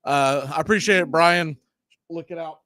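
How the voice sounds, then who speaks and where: A man hosting a casual live sports stream, speaking bluntly and opinionatedly in a halting, self-correcting way. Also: on the high side, bright, forceful tone, no room sound, clean studio sound.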